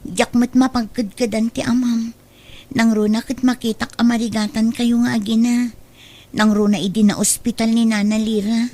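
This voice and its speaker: A woman acting a loyal, gentle young friend in an Ilocano radio drama, soothing and deferential, with an Ilocano accent. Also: fairly high pitch, flat delivery, normal speed. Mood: apologetic, earnest.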